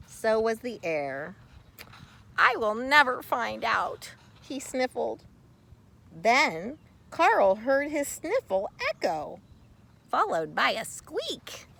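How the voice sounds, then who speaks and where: A woman reading a children's story aloud expressively, with theatrical, deliberate phrasing and dramatic pauses. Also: a little high, pushed and bright. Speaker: storybook reader.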